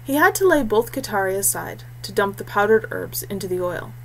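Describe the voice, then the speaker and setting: A woman's voice: mid-range voice, sing-song, a little fast, fairly bright tone, low-quality recording. Woman narrating fantasy fiction, faint noise. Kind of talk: scripted fantasy story narration.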